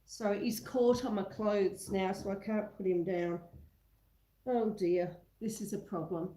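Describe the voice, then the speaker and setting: Slow, mellow Australian woman, blocked up. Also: mid-range voice, fairly even intonation, echoey room, low-quality recording. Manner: chatty, reading out and answering viewer comments. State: congested, blocked-up nose. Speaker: homesteading channel host. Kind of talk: casual livestream chat, greeting viewers. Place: indoors at home in a roomy space.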